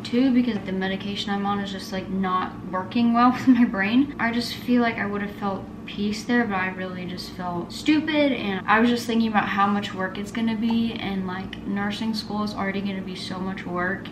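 A young American woman talks casually to a camera in her apartment. Her delivery is candid and rambling, full of slang. The room echoes around her voice, and clear background noise sits behind it. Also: medium pitch, moderate pace, few pauses. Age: 20s. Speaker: vlogger, nursing student. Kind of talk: casual chatty vlog. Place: small apartment kitchen and bedroom, indoors. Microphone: camera at arm's length or on counter.

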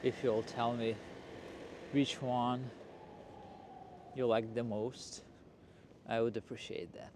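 Slow-talking man on a noisy street. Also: medium pitch, level tone. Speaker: vlogger hosting a walking tour. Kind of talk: casual unscripted walking-tour narration. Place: outdoors on a city street at night, walking. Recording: noisy outdoor street with traffic. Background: street ambience and passing vehicles.

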